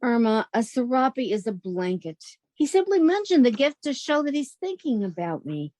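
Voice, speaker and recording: Woman playing a wry, animated young roommate, dry sound. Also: on the high side, moderate pace, no room sound. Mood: exasperated. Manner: wisecracking, crisply exasperated. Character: wry, sensible young single woman, fondly exasperated. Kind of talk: 1940s radio comedy acting and narration.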